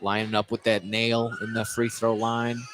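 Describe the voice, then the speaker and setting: Even-toned male basketball announcer, noisy gym. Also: fairly low pitch, moderate pace. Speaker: play-by-play sports announcer. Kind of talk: live basketball radio commentary. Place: indoor gym at a basketball tournament. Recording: noisy gym ambience behind.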